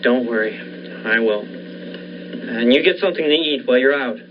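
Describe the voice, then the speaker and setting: A man's voice: medium pitch, normal speed, natural voice. A young man playing a sceptical, protective doctor and colleague in a 1940s-style radio thriller. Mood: doubtful, soothing. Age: young adult. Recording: noisy, dull lo-fi recording.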